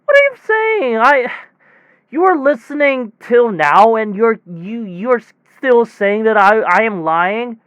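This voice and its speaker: Man acting a high-voiced, weary, rambling technician. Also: smooth, no echo, heavily acted. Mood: exasperated, disbelieving. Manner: rambling, halting, animated storytelling with sighs. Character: weary, exasperated male air-conditioner technician telling a bizarre tale. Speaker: voice actor in a self-written audio drama. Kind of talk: conversational monologue audio drama, as if on a phone call.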